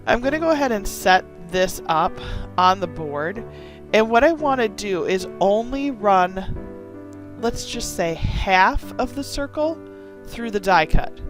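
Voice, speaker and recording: A hoarse woman from Wisconsin hosting a casual card-making tutorial, talking with gushing enthusiasm. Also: mid-range voice, lively intonation, measured pace, over music. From Wisconsin, USA. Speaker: craft channel host.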